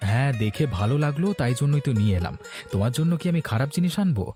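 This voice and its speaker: A man's voice: mid-range voice, animated, very fast, mellow, faint music behind, natural voice. A man voicing a sensible, weary working husband in a cartoon story, plain-spoken and homely in his talk. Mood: pleased. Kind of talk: Bengali cartoon story dialogue.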